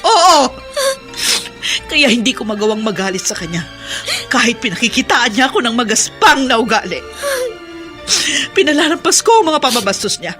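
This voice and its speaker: A woman's voice: a little high, sing-song, moderate pace, music in the background. A woman acting an anguished, pleading mother in an emphatic, melodramatic radio drama. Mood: tearful pleading. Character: anguished mother confessing a long-hidden family secret.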